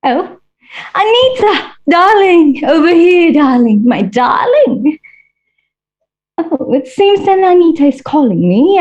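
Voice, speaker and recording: A woman's voice: high voice, a little mellow. A woman playing a vain, flamboyant female fashion-designer villain, with a theatrical, mocking drawl full of "darlings". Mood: grandly haughty. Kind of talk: stage monologue in character. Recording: dull lo-fi recording.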